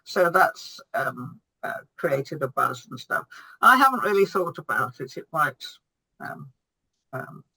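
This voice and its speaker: A woman astrologer fields questions on a video call, halting and full of ums. Her delivery is slow and unhurried, with a bright, forceful tone. The sound is dry and close. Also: deep voice, big pitch swings, slightly rough, no room sound, low-quality recording. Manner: hesitant, with many ums and restarts. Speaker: guest astrologer.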